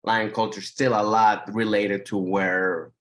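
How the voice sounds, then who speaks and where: Venezuelan man, lowish voice, halting. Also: level tone, fairly bright tone. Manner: thoughtful, halting, lots of fillers. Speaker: guest comedian. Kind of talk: casual podcast chat.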